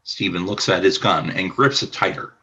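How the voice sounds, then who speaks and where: Man, scripted narrator, slightly low pitch, bright clear-cut tone, radio play storytelling. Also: normal speed, rough recording.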